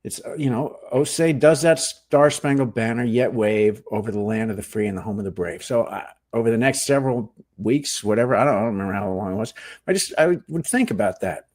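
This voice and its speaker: Middle-aged jersey guy, easygoing storyteller, jokes at his own expense, voice drops low at the end of thoughts. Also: fairly quick, hardly any pauses. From New Jersey, USA. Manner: relaxed storytelling, self-deprecating, trailing off into low asides. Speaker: guest, actor and Marine veteran. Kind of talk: casual interview chat.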